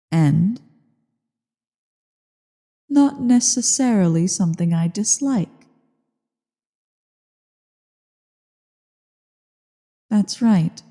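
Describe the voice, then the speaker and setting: A woman voicing an ancient evil female dragon in a slow ASMR roleplay monologue, haughty, bored and menacing, with a low, husky, creaky delivery. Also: dark tone, full character voice. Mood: disdainful, darkly amused. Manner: haughty, disdainful, deliberate. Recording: long cavernous reverb.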